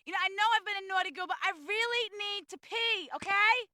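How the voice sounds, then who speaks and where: Breathy tied-up girl, very high and piercing, pleading from off-mic, game cutscene. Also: brisk, pushed and bright. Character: bound captive young woman, pleading and childish.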